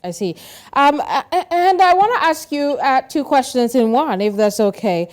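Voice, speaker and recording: A woman anchoring a news panel interview, brisk and steering the guests. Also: high voice, animated, a little mellow.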